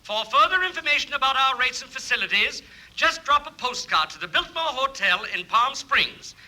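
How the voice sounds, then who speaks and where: A man's voice: extremely high pitch, big pitch swings, normal speed, sharp tone, heavily acted, rough recording. A man playing a formal radio announcer reading a scripted advertisement in an old radio broadcast. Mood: formal, promotional.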